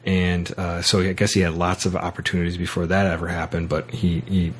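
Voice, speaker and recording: Low-pitched man, casual podcast talk. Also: monotone, brisk, husky. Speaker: podcast host. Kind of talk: casual film and book discussion.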